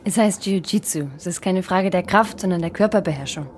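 An actress playing a young, self-assured policewoman in a German radio drama, brisk, cool and wry. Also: on the low side, noisy background, natural voice. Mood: cool, confident. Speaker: actor.

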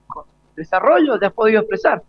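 A man's voice: high-pitched, big pitch swings, fast, muffled recording. A man interviewing live, hesitating and rephrasing as he goes. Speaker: radio interviewer.

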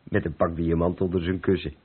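An actor playing a seasoned truck driver in a Dutch radio drama, bossy and grumbling but good-natured, with a hearty, chatty manner. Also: male voice, sits low, flat delivery, brisk, natural voice. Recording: narrow phone-like old radio recording, noisy.

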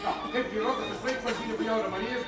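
A man's voice: high voice, steady tone, fast talker, lightly acted. An actor playing an impatient, grumbling man who curses and complains at home in a television period drama. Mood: exasperated. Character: grumbling, exasperated man bickering at home over Christmas. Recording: echoey far-off TV soundtrack, music behind.

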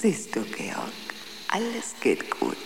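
A woman's voice: sits low, wildly swooping pitch, slow, gravelly, background noise, full character voice. A woman playing a seductive, insistent phantom voice in a radio drama, drawn out and incantatory, often whispering. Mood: soothing, commanding.

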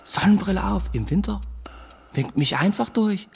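A man's voice: high-pitched, animated, normal speed, vocal fry, a little mellow. A jittery young man whose voice leaps around as he stammers excuses. He rambles in street slang like an evasive small-time dealer in a radio play, over faint noise. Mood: excited rambling. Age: young adult. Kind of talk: naturalistic radio drama acting.

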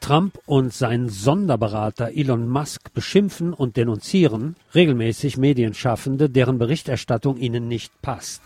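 Mellow-voiced man reading a radio report. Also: mid-range voice, breathy edge, dry recording. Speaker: radio presenter. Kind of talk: reading a press freedom report aloud.

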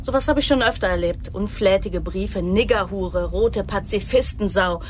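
Female actor as sharp-tongued young woman, medium pitch, blunt, sardonic, emotional, radio drama, background noise. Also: moderate pace, lightly acted. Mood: irritated, brash. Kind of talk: radio play acting.

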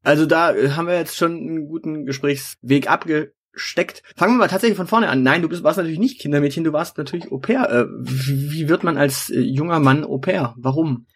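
A male host leads a casual interview in a husky, slightly breathy voice. He asks his questions loosely, tripping over his words and repeating himself before he gets to the point. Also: a little high, brisk, hardly any pauses.

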